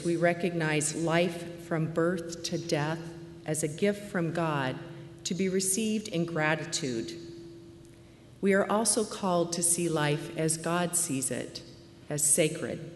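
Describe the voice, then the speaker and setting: A woman in her forties speaks softly and earnestly as a deaconess addressing a conference. Her low voice rings through a large, echoey hall, with a faint murmur of room ambience behind her. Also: a little hiss or hum. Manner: soft-spoken, earnest, with some fillers. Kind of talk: conference talk.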